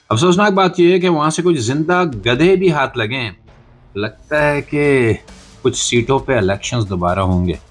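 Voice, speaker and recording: A man's voice: mid-range voice, fairly bright tone, breathy edge. A man with a Pakistani accent delivering satirical news commentary as a podcast host, in a sarcastic, theatrical, rhetorical manner.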